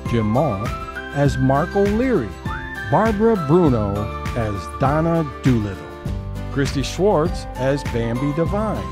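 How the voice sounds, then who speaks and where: A man narrating as host of an audio theater show, reading scripted narration and credits. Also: fairly high pitch. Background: music.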